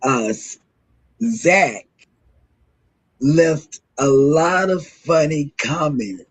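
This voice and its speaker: A man hosting a morning show in casual, rambling chat, with a throaty, hoarse voice from a sore throat. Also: on the high side, lively intonation, very slow.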